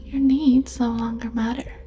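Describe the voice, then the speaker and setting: A woman in an ASMR hypnosis roleplay, speaking slowly and softly in a commanding tone, often slipping into a whisper. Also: fairly high pitch, flat delivery. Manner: slow, soft, partly whispered, commanding.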